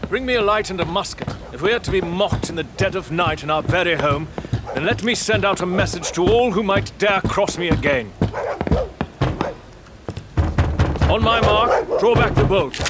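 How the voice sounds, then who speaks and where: A man's voice: sits high, lively intonation, fairly quick, hardly any pauses, loud music behind the voice. A man acting a haughty, sceptical manor lord in a period audio drama, with pompous, commanding and formal diction. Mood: furious, defiant.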